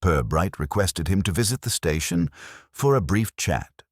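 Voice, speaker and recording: Very deep-voiced male mystery narrator. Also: lively intonation, moderate pace, no room sound, studio quality. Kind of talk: scripted narration of a mystery story.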